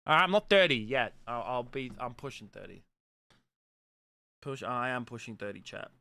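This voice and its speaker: A man's voice: mid-range voice, expressive, normal speed, creaky, bright, forceful tone. A man in his late twenties with a mild Australian accent, chatting casually as a streamer and answering viewer comments in a blunt, profane way, with lots of fillers. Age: late 20s.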